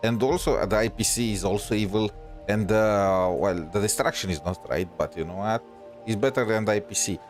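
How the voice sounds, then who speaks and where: A man streams a game and comments casually as it goes. His voice is fairly low, and his talk is slangy, opinionated and rambling. Faint game music plays behind him. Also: brisk. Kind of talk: casual reaction commentary over gameplay.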